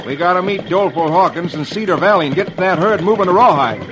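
A man's voice: high voice, fast, close to an everyday voice. A man voicing a confident, plucky cowboy hero with a firm, hearty manner, in an old radio comic-strip reading. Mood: determined. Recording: noisy, muffled old radio recording.

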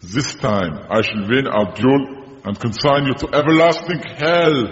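A man's voice: medium pitch, measured pace, very noisy, natural voice. A man playing a vengeful centuries-old burgermeister spirit in a comedy audio drama, pompous and menacing, with a foreign accent and archaic, formal speech. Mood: gloating challenge. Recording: dull, muffled, long reverb.

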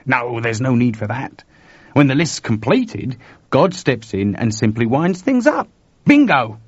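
Jittery young tech guy done by a man, husky, talks high and urgent, dry dull recording. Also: measured pace, no room sound. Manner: excited, talkative, urgent. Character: urgent, nervous young male computer technician.

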